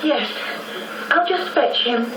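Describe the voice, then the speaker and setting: A young gentlewoman in a period radio drama, a devoted and contrite daughter. Her voice sits slightly high. The recording echoes and carries clear background noise. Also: expressive, slow. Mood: attentive. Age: young adult. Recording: roomy, noisy radio broadcast copy.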